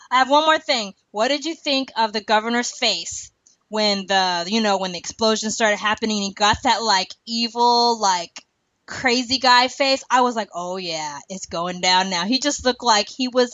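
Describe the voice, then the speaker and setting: A woman's voice: on the high side, normal speed, a bit bright, no room sound. A woman hosting a podcast, chatting casually and giving picky opinions about a show. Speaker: podcast host. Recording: dull, muffled lo-fi audio.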